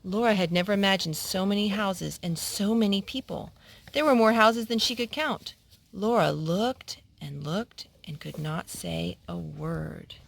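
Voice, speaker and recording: A woman's voice: medium pitch, measured pace, creaky. Woman reading aloud to children. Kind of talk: read-aloud children's storytelling.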